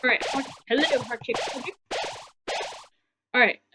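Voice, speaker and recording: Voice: medium pitch, little pitch movement, a little slow, heavy background noise. A gamer giving casual, offhand commentary while playing a video game, thinking aloud. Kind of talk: casual let's play commentary. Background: video game music.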